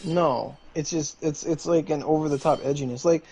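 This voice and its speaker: A man talks loosely on a stream, circling his point with lots of fillers and restarts. His voice sits fairly high for a man. A faint background hiss runs under the recording. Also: steady tone, normal speed, warm tone. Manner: rambling, many fillers and restarts. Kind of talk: casual stream chat.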